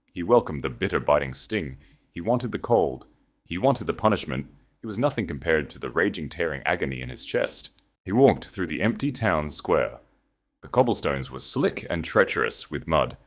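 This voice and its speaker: Deep-voiced male story narrator. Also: sing-song, normal speed. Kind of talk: dramatic audiobook narration.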